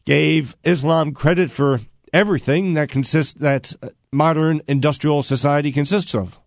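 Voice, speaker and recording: A man hosting a radio talk show, interviewing a guest with rambling, halting questions full of fillers. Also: a little high, steady tone, no room sound, studio quality.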